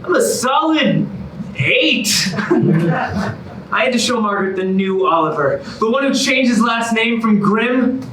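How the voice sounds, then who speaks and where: A man's voice: extremely high pitch, expressive, slow, noisy background, full character voice. An actor playing an eager, earnest man desperate to win back his ex, in a theatrical musical comedy monologue. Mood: upbeat storytelling. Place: staged reading in a reverberant room. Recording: echoey room, distant microphone.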